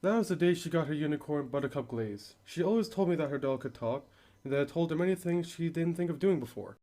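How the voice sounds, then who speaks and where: A man's voice: a little high, lively intonation, fast talker, warm tone, lightly acted. A man playing a worried father in an amateur radio drama, earnest and reflective.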